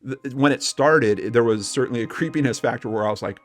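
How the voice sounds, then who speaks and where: Male podcast co-host, average pitch, informal and chatty storyteller, conversational paranormal talk. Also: brisk. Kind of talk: casual paranormal discussion with personal anecdotes.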